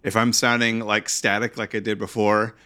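A man co-hosting a podcast in relaxed casual chat, laughing often and adding short agreements. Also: lowish voice, normal speed. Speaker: podcast co-host.

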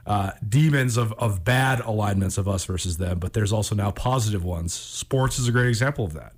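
A man hosting a radio talk show in a reflective, conversational way, musing philosophically and somewhat haltingly. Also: fairly low pitch.

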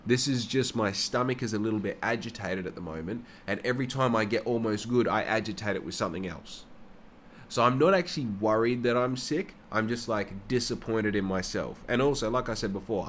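A man's voice: lowish voice, a little hiss or hum. A young man rambling through a casual personal monologue, swearing freely. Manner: rambling and heavily profane.

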